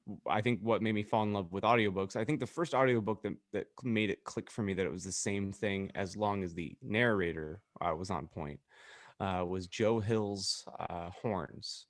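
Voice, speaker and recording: Low-voiced man chatting on a podcast. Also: normal speed, no echo, clean studio sound. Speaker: podcast host. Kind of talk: casual chat.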